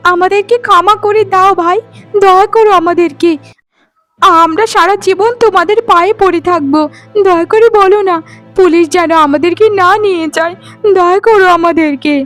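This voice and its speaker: A woman acts out a haughty, scheming sister-in-law in a cartoon folk tale. Her voice is extremely high and her delivery is bossy. Music plays behind her. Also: a bit bright. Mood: pleading. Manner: bossy, scolding. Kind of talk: cartoon storytelling dialogue.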